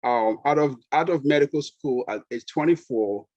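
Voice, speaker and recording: A middle-aged man from Nigeria with a Nigerian accent, a pediatrician guest talking relaxed, with fillers and easy laughs. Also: medium pitch, normal speed, dry recording, lo-fi sound. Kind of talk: conversational interview.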